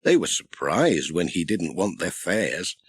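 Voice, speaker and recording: A man narrating a children's story in an expressive, scripted storytelling manner. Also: low voice, measured pace, breathy edge, dry recording. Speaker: audiobook narrator.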